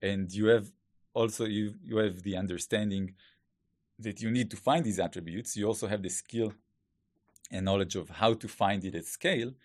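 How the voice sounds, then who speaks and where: A man's voice: lowish voice, fairly even intonation, moderate pace, dry recording, studio quality. A man with an Israeli accent, a Hebrew native speaker, talking as a podcast guest in a thoughtful, casual way full of fillers and restarts. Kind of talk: casual interview talk. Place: studio.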